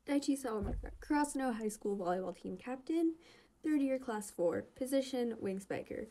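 A woman's voice: a little high, animated, fairly quick, warm tone, slight background noise. A young woman casually narrating and commenting on fan comics in a gushing, giggly, informal way.

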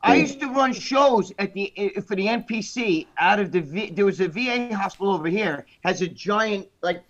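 A man's voice: high-pitched, lively intonation, moderate pace. Blunt New man, lo-fi call. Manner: blunt, profane, rambling. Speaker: podcast host. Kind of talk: casual podcast chat.

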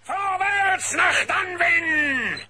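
A man's voice: extremely high pitch, drawn-out words, shouting, exaggerated voice. A man playing a bombastic, megalomaniac villain duke who barks orders in a cartoon audio drama. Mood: commanding. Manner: bombastic, barking. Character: bellowing, megalomaniac villainous duke, easily enraged. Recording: noisy old cassette transfer.

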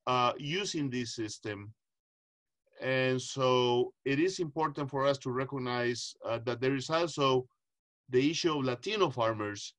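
A middle-aged man from Costa Rica speaks on a video call, pausing often with fillers as he explains his work. His voice is bright and forceful, at a middling pitch. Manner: thoughtful, many "uh" fillers, earnest. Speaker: panelist, agricultural economist. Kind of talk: casual panel answer. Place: home, video call with virtual background. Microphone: webcam or laptop mic on video call.

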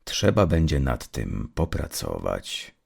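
A man voicing a cold, commanding, enigmatic man in a dark story, with a low voice and slow, terse delivery. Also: huge pitch swings, vocal fry, breathy edge, full character voice. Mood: cool appraisal.

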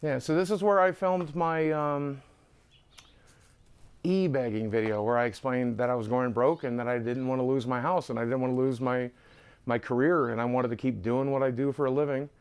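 A man's voice: medium pitch, steady tone, moderate pace, clear, resonant tone. A middle-aged man talking casually and candidly to camera, in a self-deprecating way, as he shows people around a house. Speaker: online video creator giving a house tour. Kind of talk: casual talk to camera, walking through a house. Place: rooms of a house, indoors. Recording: music playing behind the voice. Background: background music.

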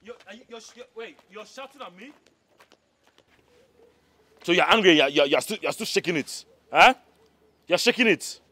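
A man's voice: high voice, expressive, moderate pace, pauses often, sharp tone, exaggerated voice. Guy playing a cheating husband, stammers, voice jumps up and down. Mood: defensive. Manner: stammering, pleading to be heard. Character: married man caught cheating, defensive.

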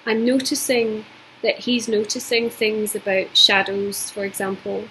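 A woman in her forties from Scotland with a Scottish accent, giving a measured, reflective recorded art lesson from home, with frequent ums. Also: mid-range voice, level tone, a bit bright, a little hiss or hum, poor audio. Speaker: art teacher. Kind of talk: recorded video lecture. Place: indoors at home.

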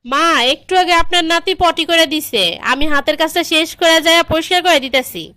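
A woman's voice: very high voice, brisk, sharp tone, no room sound. A woman playing a dutiful young daughter-in-law in a comedy cartoon, deferential, with a Bangladeshi accent. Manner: deferential, explaining. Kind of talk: comedy cartoon dialogue in colloquial dialect.

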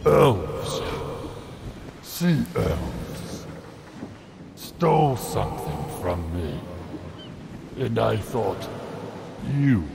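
A man voicing a huge wounded sea dragon turtle in a radio play, deep and gravelly, speaking in solemn, pleading, halting phrases. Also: very animated, background noise, full character voice.

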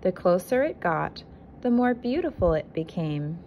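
A woman with a slightly low voice reads a children's picture book in an expressive, deliberate teacher's way. She is recorded indoors on a phone, with clear background noise around her voice. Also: highs cut off. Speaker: kindergarten teacher reading aloud. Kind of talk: picture book read-aloud to young children. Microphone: handheld phone filming the book.

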